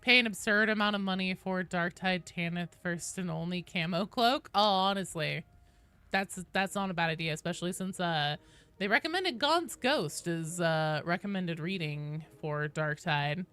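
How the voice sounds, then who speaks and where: A woman's voice: fairly low pitch, normal speed, fairly bright tone, clear, resonant tone, no room sound. A woman livestreaming a game, chatting casually with viewers as she reads out their comments, swearing freely and reacting playfully. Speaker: game livestreamer reading and answering viewer chat. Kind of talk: casual live stream chat.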